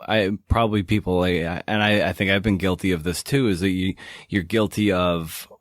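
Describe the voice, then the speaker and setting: A man's voice: low-pitched, fairly even intonation, normal speed, no echo, studio quality. A man hosting a casual podcast interview, asking rambling, conversational questions. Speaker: podcast host.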